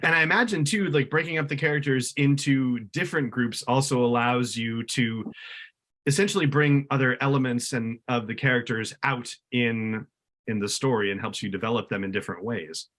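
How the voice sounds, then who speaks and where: A man's voice: mid-range voice, moderate pace, a bit bright. A man hosting a podcast in a conversational interviewing style. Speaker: podcast host.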